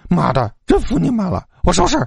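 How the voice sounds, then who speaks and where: A man's voice: medium pitch, very animated, brisk, husky, dry recording, studio quality. A man voicing a hot-tempered, put-upon young male student who exclaims indignantly in a dramatized story.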